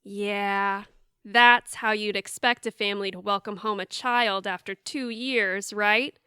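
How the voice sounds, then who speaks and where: Woman acting a bright, clear wry daughter. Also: medium pitch, fairly even intonation, unhurried, no room sound, studio quality. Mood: wry, confiding. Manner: wry, exasperated. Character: exasperated young adult daughter, wry playwright home visiting. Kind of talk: audio theatre acting with confiding narration.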